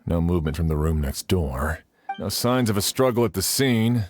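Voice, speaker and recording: Deep-voiced methodical detective. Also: male voice, animated, darkish tone, faint music behind. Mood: calm, probing. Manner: measured, businesslike. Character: serious, methodical male detective, calm and probing. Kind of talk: English anime game dubbing.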